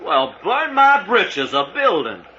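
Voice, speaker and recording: An actor playing an impulsive, folksy young sidekick in a 1940s radio adventure, full of slang, on a muffled old recording. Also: male voice, sits high, huge pitch swings, slow, sharp tone, heavily acted. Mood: excited. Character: eager, folksy young male sidekick, impulsive and wide-eyed. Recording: muffled vintage radio recording, faint noise.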